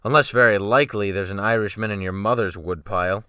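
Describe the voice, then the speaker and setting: A man's voice: lowish voice, fast, pushed and bright, clear, resonant tone, no room sound, clean studio sound, pitched below the performer's own voice. A man voicing a brutal, commanding ship's captain who snaps orders with mocking menace in a dramatic audiobook. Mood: sneering, bullying. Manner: snapping, barking orders, thundering. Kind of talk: dramatic audiobook character reading.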